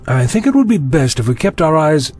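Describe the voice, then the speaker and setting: Man as wry eccentric explorer, very animated, audio drama, self-assured, light music behind. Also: fairly high pitch, brisk. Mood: musing, wry. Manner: musing, wry. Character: eccentric, curious, self-assured time-travelling adventurer.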